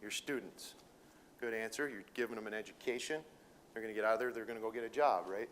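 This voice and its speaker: A man's voice: on the low side, steady tone, fast, a bit bright, a little hiss or hum. A middle-aged man from Detroit with a Minnesota accent, giving an informal talk to educators with lots of fillers and restarts. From Detroit, Michigan. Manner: folksy, rambling, many fillers and restarts. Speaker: welding sales manager presenting to educators. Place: room with an audience.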